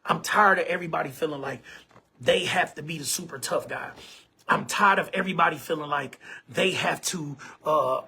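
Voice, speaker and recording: A man's voice: on the high side, moderate pace, vocal fry, pushed and bright, slight background noise. A man in his forties hosting an online show, talking casually to camera in an emphatic, repetitive way and often calling listeners bro and man. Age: 40s.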